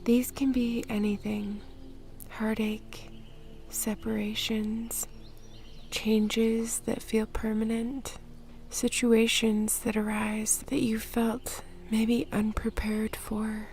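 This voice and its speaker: A woman guiding a meditation in a soft, breathy, whispery voice, with a soothing, gentle and measured manner. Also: a little high, level tone. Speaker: meditation guide. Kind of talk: guided meditation, soft-spoken narration. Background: music.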